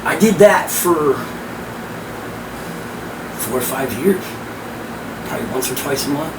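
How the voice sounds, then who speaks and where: A hoarse, powerful-voiced middle-aged man tells stories as a podcast guest, emphatic and salty, his voice sitting a little high. He is picked up from some way off the mic in a roomy space. An air conditioner hums clearly underneath. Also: a little slow, distant mic, very noisy. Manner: animated, emphatic, profane. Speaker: guest, rap host and promoter. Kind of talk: casual podcast storytelling.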